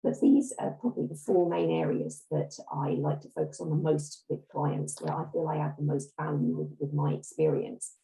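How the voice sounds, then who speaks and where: Hoarse woman with a croaky cold. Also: low-pitched, expressive, normal speed, few pauses, warm tone, poor audio. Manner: clears throat often, apologetic. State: hoarse, under the weather. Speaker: guest speaker, reward consultant. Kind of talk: webinar presentation. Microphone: video call.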